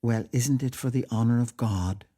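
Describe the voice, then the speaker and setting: A man voicing a polite, peacemaking young niece in a storybook, gently coaxing. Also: mid-range voice, moderate pace, dry recording, studio quality, voice pushed up, natural voice. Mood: pacifying.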